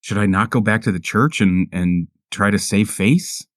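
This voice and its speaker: Very deep-voiced man, halting roleplay. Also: no room sound, studio quality. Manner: halting, hesitant, searching for words. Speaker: player in a tabletop roleplaying game. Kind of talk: improvised in-character roleplay. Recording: music playing behind the voice. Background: music.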